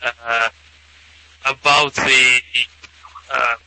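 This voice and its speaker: A male caller with an Afghan accent, speaking haltingly as he puts questions to a host, repeating and rephrasing. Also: medium pitch, level tone, gravelly, sharp tone, smooth, no room sound, rough recording.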